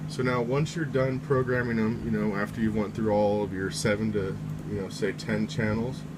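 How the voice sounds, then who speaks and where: A man with a fairly low voice walks the listener through instructions one step at a time. He speaks informally and likes to repeat each step for emphasis. The homemade recording has clear, steady noise behind him. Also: moderate pace. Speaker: tutorial presenter. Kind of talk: casual how-to instruction, step by step.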